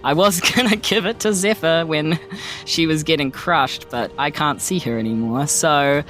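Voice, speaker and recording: A woman's voice: low-pitched, moderate pace, a bit bright. A woman with a New Zealand accent, chatting casually and self-deprecatingly as a tabletop game player. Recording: background music under speech. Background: music.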